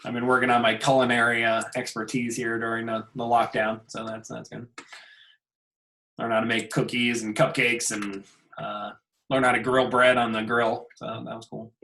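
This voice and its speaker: A man, an instructor, chatting casually with his students on a video call, relaxed and chuckling as he trails off. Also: medium pitch, normal speed, creaky. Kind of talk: casual class meeting chat. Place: home.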